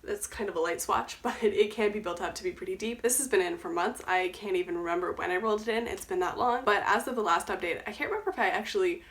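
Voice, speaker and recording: A woman's voice: medium pitch, fairly bright tone. A young woman with a cold-stuffed, nasal voice, chatting casually about her makeup as a beauty vlogger. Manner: chatty, quick, self-correcting.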